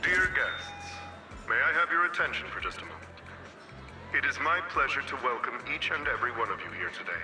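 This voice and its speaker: An actor playing a polished corporate chief executive giving a ceremonious welcome speech in a video game. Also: male voice, medium pitch, animated, brisk, lightly acted. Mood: gracious, formal. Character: formal male corporate co-CEO addressing banquet guests. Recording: echoey hall sound with music.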